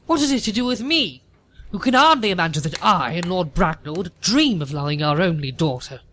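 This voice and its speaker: A man's voice: high-pitched, animated, a little fast, fairly bright tone, slight background noise. A man playing a haughty, imperious older lady in an audio play, with a pompous, precise manner. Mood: indignant. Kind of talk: audio play acting of period comedy.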